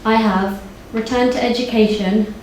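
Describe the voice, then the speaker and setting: A woman's voice: on the high side, steady tone, normal speed. A woman in her twenties giving a prepared personal speech as an author at a live event. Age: 20s. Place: indoor live launch event. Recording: very echoey hall, faint background noise.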